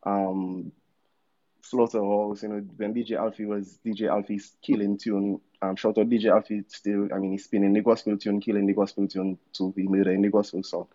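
A man's voice: on the low side, flat delivery, normal speed, warm tone, lo-fi sound. A man in his thirties from Dominica with a Dominican accent, a musician telling his story in a casual, laid-back interview with slangy asides. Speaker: musician guest.

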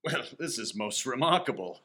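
A man playing an incredulous, formal official chief in a comedy radio play, hesitant and halting. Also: mid-range voice, expressive, sharp tone.

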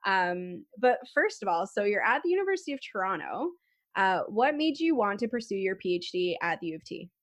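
A woman's voice: medium pitch, sing-song, brisk. A woman hosting a podcast, introducing her guest and interviewing her in a friendly, encouraging way. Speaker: podcast host.

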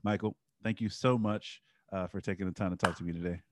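A man hosting an interview, asking questions in a measured, friendly way with frequent fillers. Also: deep voice, fairly even intonation, background noise. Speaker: interview host.

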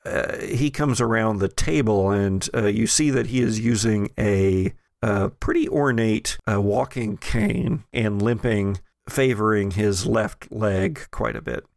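A man runs a tabletop game as its narrator, speaking slowly and haltingly as he improvises descriptions. His voice has a gravelly fry to it and is captured close and dry. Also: on the low side, no echo. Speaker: game master and podcast host.

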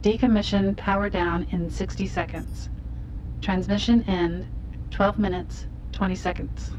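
A woman's voice: medium pitch, steady tone, measured pace, darkish tone, lightly acted. Woman as a dull, lo-fi computer voice. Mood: impassive. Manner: formal, clipped announcements. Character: impassive female computer voice relaying satellite transmissions. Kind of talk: radio play acting. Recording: dull lo-fi sound, faint noise.

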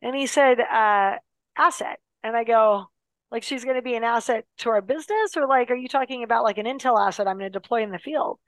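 A woman telling a long personal story in a casual, animated way, full of fillers and restarts, dropping her voice for serious parts. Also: fairly high pitch, fairly quick, no echo.

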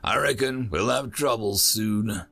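A man's voice: lowish voice, a little slow, gravelly, a bit bright, breathy edge, faint music behind, full character voice. A man voicing a brash, foul-mouthed male human soldier who barks orders in slangy talk. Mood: gruff bossy. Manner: slangy, swearing, bossy.

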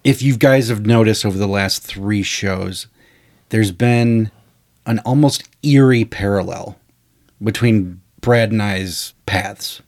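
A man's voice: on the low side, takes it slowly. A man co-hosting a podcast, telling stories from his screenwriting career in a rambling, casual way. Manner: rambling, animated storyteller with frequent "you know" and "uh". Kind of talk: casual storytelling chat.

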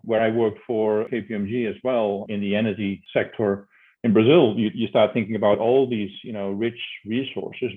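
A middle-aged man from the Netherlands with a Dutch accent, explaining conversationally as an interview guest and repeating words as he builds his points. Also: fairly low pitch. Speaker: interview guest, energy consulting executive. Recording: narrow tinny phone-like line.

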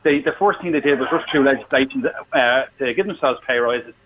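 A man's voice: mid-range voice, a little fast. An Irish man calling in to a radio show with a blunt, heated political rant, swearing as he goes. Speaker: caller. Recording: dull phone line with faint noise.

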